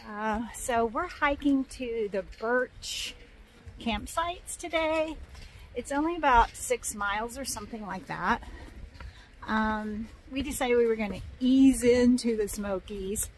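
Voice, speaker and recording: Slow-talking older woman hiking outdoors. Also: a little high, rough recording. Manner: cheerful, chatty, excitable. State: a little out of breath from hiking. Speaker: hiking vlogger. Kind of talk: casual vlog narration. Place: outdoors on a dam and mountain trail. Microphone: phone camera at arm's length. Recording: faint outdoor noise.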